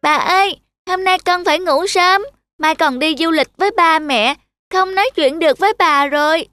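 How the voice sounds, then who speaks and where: A woman's voice: very high voice, normal speed, sharp tone, dry recording. A woman voicing an affectionate, earnest little boy in a cartoon. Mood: eager, affectionate. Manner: earnest, childlike.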